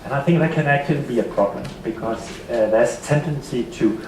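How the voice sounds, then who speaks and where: Halting Danish-accented man, echoey hall. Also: medium pitch, distant mic, noisy background. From Denmark. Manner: halting, with many repeated words and fillers. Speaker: seminar speaker. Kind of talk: conference presentation. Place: seminar hall.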